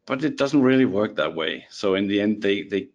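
A man with a Danish accent speaks in a slightly low voice as a guest in a relaxed podcast conversation. He talks haltingly, repeating words and restarting sentences as he goes. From Denmark. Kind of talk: casual podcast chat.

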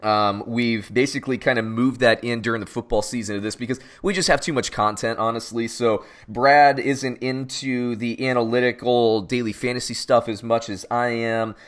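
A man's voice: medium pitch, steady tone, normal speed, few pauses, fairly bright tone. A young man co-hosting a casual sports podcast, teasing his partner and rambling with restarts. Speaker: podcast co-host.

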